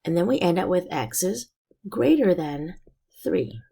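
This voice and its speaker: A woman teacher explaining a math lesson step by step, her voice raspy and hoarse from being sick. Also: fairly low pitch, lively intonation, unhurried, warm tone. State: hoarse from illness.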